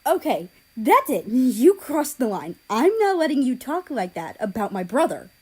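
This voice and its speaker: A woman's voice: high-pitched, very animated, moderate pace, dark tone, slightly rough, pitched above the performer's own voice, exaggerated voice. A woman voicing an indignant, fiercely loyal teenage girl in an audiobook story, emphatic and offended. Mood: angry.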